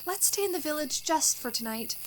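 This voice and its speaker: Casting: actress as naive, romantic bride, high genteel voice, fluttery hesitations, radio melodrama, faint noise behind. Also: female voice, lively intonation, a little fast. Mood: anxious, pleading. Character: naive romantic new bride, wistful, increasingly anxious. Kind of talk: old-fashioned radio melodrama acting, with diary narration.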